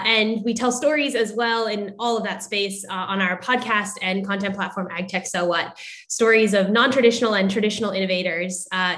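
A woman's voice: medium pitch, a bit bright. A middle-aged American woman from the United States giving an upbeat, articulate prepared presentation as moderator on a video call. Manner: upbeat and articulate, occasional fillers. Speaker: moderator introducing startups.

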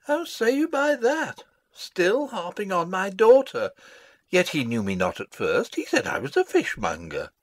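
A man's voice: high voice, wildly swooping pitch, unhurried, dry recording, exaggerated voice. Guy acting a pompous old royal advisor, meddling, voice swoops wildly, very dry sound, shakespeare play. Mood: musing aside. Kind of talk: Shakespearean dramatic reading.

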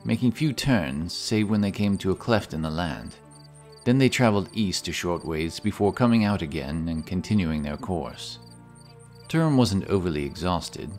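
A man narrating a scripted fantasy audiobook in a measured, dramatic way. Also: sits low, darkish tone.

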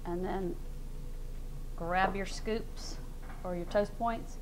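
A woman's voice: mid-range voice, steady tone, slow, noisy background. Chatty woman hosting a cooking show. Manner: chatty and friendly, with y'all and fillers. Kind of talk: casual cooking demonstration. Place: large studio kitchen indoors.

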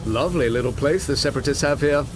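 A mid-pitched male Jedi master, voiced by an actor, composed and dryly wry. His refined game dialogue sits over loud music. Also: quick pace, natural voice. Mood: calm, wry. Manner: dry, refined, formal. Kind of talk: video game cutscene acting.

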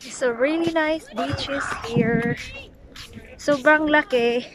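A woman's voice: high voice, very animated, slow pace, gravelly, a crowd in the background. A young woman from the Philippines with a Filipino accent, a Bisaya native speaker, chatting casually in a travel vlog with hesitant English, talking into a handheld selfie camera in a van and on a beach. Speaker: vlogger. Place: inside a van, then outdoors on a sandy beach.

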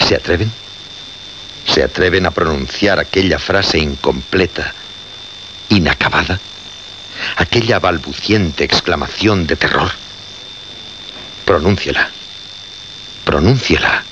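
An actor with a Castilian Spanish accent playing an obsessed, unnerved radio presenter in a suspense drama, solemn and insistent. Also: male voice, low-pitched, takes it slowly, long pauses. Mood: ominous. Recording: muffled, noisy radio drama recording with hum.

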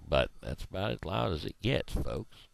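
Folksy low-voiced man with headset. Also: sing-song, moderate pace, creaky. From Missouri, USA. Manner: folksy, rambling, drawling. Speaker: video blogger. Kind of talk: casual rambling monologue. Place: small room indoors. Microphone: headset microphone.

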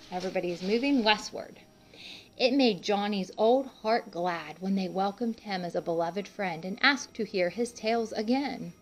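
A woman reading a picture book aloud to young children, pausing to explain things simply and speaking to them directly as a teacher would. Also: mid-range voice, poor audio. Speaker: children's read-aloud host and art teacher.

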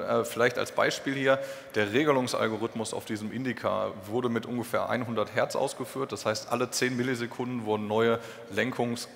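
A man's voice: medium pitch, fairly bright tone. A young adult man giving a technical conference presentation in an explanatory, informal way. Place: stage in a concrete-walled event hall. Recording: echoey hall with clear background noise.

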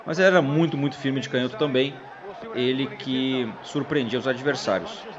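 A man's voice: medium pitch, normal speed. A man hosting and commentating casually over old fight footage, joking with his co-host. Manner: chatty, jokes with his co-host. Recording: dull sound with loud crowd behind. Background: crowd noise from fight footage.

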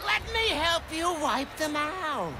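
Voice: high voice, very animated, takes it slowly, noisy background, exaggerated voice. An actor playing a theatrical, arrogant robot villain in a video game. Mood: frantic, pleading. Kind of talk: video game cutscene acting.

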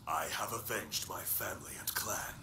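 An actor playing a grim, vengeful undead ninja warrior in a video game, gravelly and forceful. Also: male voice, low voice, moderate pace, very noisy, close to an everyday voice. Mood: grim menace. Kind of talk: dramatic video game voice acting. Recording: echoing voice under loud music.

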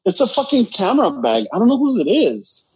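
A man's voice: high voice, wildly swooping pitch, fairly quick, a little mellow, lo-fi sound. A man with a Chicano Los Angeles accent, co-hosting a casual podcast chat, rambling, swearing and switching between English and Spanish. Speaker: podcast co-host.

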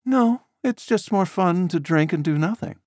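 A man voicing a wry, relaxed middle-aged wife in an audiobook, soft and teasing with a light wistfulness. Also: high-pitched, measured pace, dry recording, clean studio sound, pitched above the performer's own voice.

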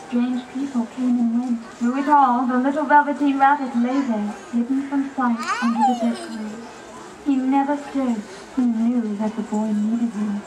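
A woman's voice: on the high side, expressive, normal speed. A woman narrator reading a scripted children's story for a puppet show. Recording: distant, noisy puppet theater recording.

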